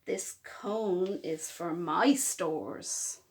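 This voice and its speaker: A woman's voice: lowish voice, drawn-out words, a little hiss or hum, voice pushed down. A woman voicing a greedy, stubborn male squirrel in a storybook, hollering bossily and possessively. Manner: hollering, bossy.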